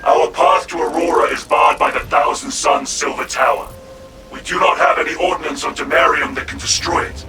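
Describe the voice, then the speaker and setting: Game commander, male, man voices him: calm authority, measured, slightly high. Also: natural voice. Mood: commanding. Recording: distant voice under loud game music.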